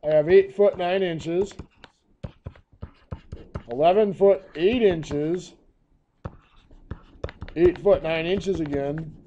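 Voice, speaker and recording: A hoarse, coughing man teaching a math lesson, walking through each step patiently. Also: fairly high pitch, slow, pauses often, muffled recording, background noise.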